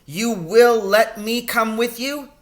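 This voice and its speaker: A man's voice: very high voice, takes it slowly, voice pushed up. A man voicing an eager, earnest young boy in a storybook, questioning and pleading with his father.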